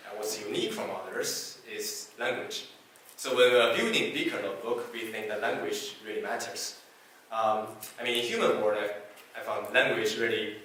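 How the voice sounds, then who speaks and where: A man's voice: lowish voice, bright, forceful tone. Chinese-native man giving a talk in an echoey hall. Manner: halting, many fillers and restarts. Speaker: conference speaker. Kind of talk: technical talk. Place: meetup presentation room. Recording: echoey hall from far off, faint hiss.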